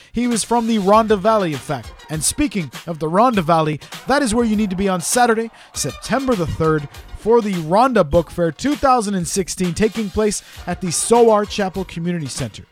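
A fairly high-voiced man hosts his podcast in a studio with a chatty, playful delivery. Faint music plays beneath his talk. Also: expressive, moderate pace, hardly any pauses. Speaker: podcast host. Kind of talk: casual podcast interview.

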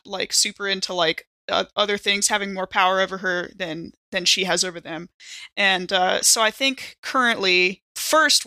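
Gal playing a tabletop game, bright punchy voice, close dry sound, goofy rambly chat. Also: female voice, medium pitch, dry recording. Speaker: player on a tabletop podcast. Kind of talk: casual banter.

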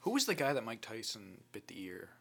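Bright-voiced man with animated, swearing banter. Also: fairly low pitch, a little fast, vocal fry, husky. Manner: profane, slangy, bantering. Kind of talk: casual podcast chat.

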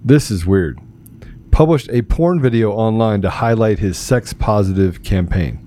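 A man's voice: fairly low pitch, expressive, unhurried, warm tone, slight background noise. A man hosting a casual live talk show, joking and teasing, often repeating himself as he banters.

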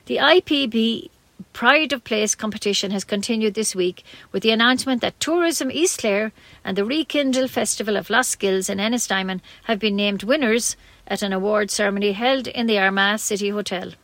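A woman's voice: medium pitch, moderate pace, fairly bright tone, no echo. A woman with an Irish accent reading scripted local news on community radio in a plain way, with the odd stumble.

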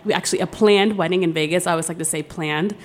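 A woman's voice: fairly low pitch, fast. A woman guest, a wedding planner, talking in a friendly, rambling way in an interview. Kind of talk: casual interview talk. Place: hotel studio.

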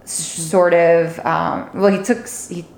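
A woman's voice: on the low side, little pitch movement, unhurried, gravelly, darkish tone. A woman hosting a podcast, chatting casually about films and given to laughing as she talks. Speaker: podcast host. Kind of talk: casual conversational movie review. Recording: echoey room.

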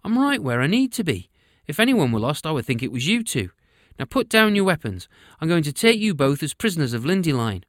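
A man's voice: a little high, very animated, a little fast, no room sound, clean studio sound, voice pushed up. A man voicing a bold, stubborn young male city guard who gives firm commands in a storybook reading. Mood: defiant.

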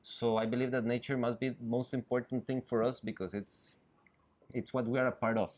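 A man's voice: mid-range voice, fairly even intonation, fairly quick, a little mellow. A Costa Rican man with a Spanish accent, a biologist answering interview questions, often restarting his sentences and laughing easily. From Costa Rica. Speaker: guest, biologist. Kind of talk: interview conversation. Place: riverbank outdoors. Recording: outdoors with faint ambience.

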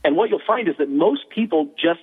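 A man talks quickly and fluently, with a husky edge to his voice. He explains his points like a teacher and often drops an "um" between them. The sound comes thin and tinny over a phone line. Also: fairly high pitch, animated. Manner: fast, fluent teaching with frequent "um". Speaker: guest expert on persuasion.

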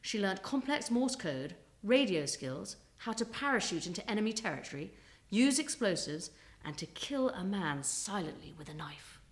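A woman's voice: medium pitch, big pitch swings, moderate pace. A woman narrating a scripted historical story as a storyteller, with a dramatic, suspenseful delivery.

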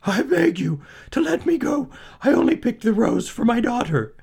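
A timid storybook merchant, played by a male narrator, his voice pushed high with fear. He pleads and falters like a desperate father. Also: big pitch swings, normal speed, vocal fry, darkish tone, husky, exaggerated voice. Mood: terrified pleading. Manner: stammering, begging, high-strung. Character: frightened, pleading merchant, father of grown children.

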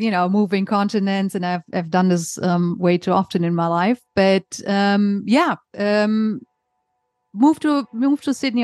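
A woman in her forties from Germany with a German accent, speaking as a guest in an interview in a relaxed, chatty way with frequent fillers. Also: mid-range voice, dry recording. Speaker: guest author. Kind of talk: conversational interview.

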